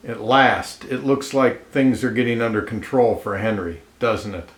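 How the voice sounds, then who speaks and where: A man reading a children's picture book aloud expressively, pausing to comment and ask listeners questions, in an echoey room. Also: lowish voice, fairly even intonation. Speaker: storybook reader. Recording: roomy, echoey indoor sound.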